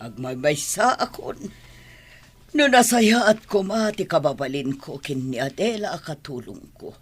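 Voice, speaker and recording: An actress playing a haughty, temperamental wealthy madam in a melodramatic radio-style drama. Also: female voice, deep voice, big pitch swings, unhurried, slightly rough, faint music behind, heavily acted. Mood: lonely, regretful.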